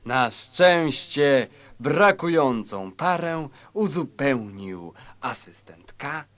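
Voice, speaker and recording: A man narrating a scripted satirical story in a measured, mock-solemn manner. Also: medium pitch, lively intonation, fairly bright tone, no room sound.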